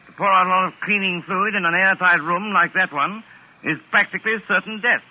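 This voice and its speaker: An actor playing a pompous, cocky crime novelist with a theatrical, declamatory manner in a 1940s radio mystery. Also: male voice, sits high, fairly even intonation, fairly quick, pushed and bright, poor audio. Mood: triumphant, taunting. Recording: vintage 1940s radio broadcast.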